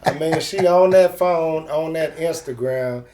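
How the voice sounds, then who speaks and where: A man's voice: fairly high pitch, unhurried, dark tone. A middle-aged man, interviewing in a casual, slangy and profane street-talk style. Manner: informal, slang-heavy, profane.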